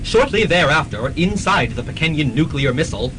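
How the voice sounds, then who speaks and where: A man's voice: a little high, lively intonation, a little fast, noisy background. A young man narrating a college radio drama in a mock-serious announcer manner. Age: young adult.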